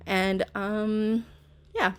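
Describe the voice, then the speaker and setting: A woman's voice: medium pitch, level tone, drawn-out words, clean tone. A young woman chatting nervously in a voice-over recorded on her phone in her bedroom, rambling with lots of fillers and restarts. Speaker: Sims speed build creator. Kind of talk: casual voice-over chat. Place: bedroom at home. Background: soft background music.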